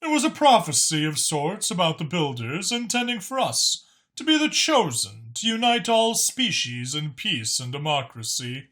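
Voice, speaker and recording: A man's voice: fairly high pitch, very animated, moderate pace, a bit bright. A man voicing a talkative alien dragon informant who explains things knowingly and with emphasis in an audiobook story. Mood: solemn, explanatory.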